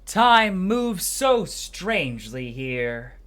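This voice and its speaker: Game holy man played by a man: solemn, fanatical, declamatory, big pitch swings, music behind. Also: big pitch swings, drawn-out words, fairly bright tone, exaggerated voice. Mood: solemn foreboding. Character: zealous, doom-laden holy man in a video game.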